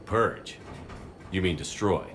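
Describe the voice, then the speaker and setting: Deep, terse soldier hero. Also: male voice, slow, fairly bright tone, big-room sound, slight background noise. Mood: businesslike, probing. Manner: terse, businesslike, questioning. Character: resolute male soldier hero commanding a squad. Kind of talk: video game dramatic dialogue.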